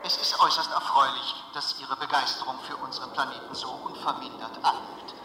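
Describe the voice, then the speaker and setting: A man's voice: medium pitch, fairly even intonation, fairly quick, natural voice. A man playing an ancient taped announcement in a radio drama, speaking in excessively courteous, formal officialese. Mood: politely menacing. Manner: formal, unctuously polite officialese. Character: ancient recorded planetary answering announcement, excessively courteous and bureaucratic. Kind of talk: audio drama acting as taped public announcement. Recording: distant, echoing hall sound with noise.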